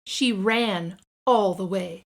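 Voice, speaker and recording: A woman storyteller reading a children's book aloud with expressive narration and deliberate, dramatic emphasis. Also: medium pitch.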